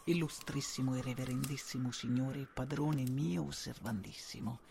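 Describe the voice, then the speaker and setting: An actor playing an obsequious, devoted informant formally reading out a letter to his master in a radio drama, with a gravelly, creaky voice. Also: medium pitch, normal speed, darkish tone, a little hiss or hum, close to an everyday voice. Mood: servile, formal. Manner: formal, deferential.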